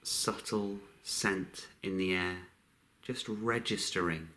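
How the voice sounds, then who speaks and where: A man leading a guided meditation in a calm, unhurried way, with long pauses between short phrases. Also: low voice, low-quality recording.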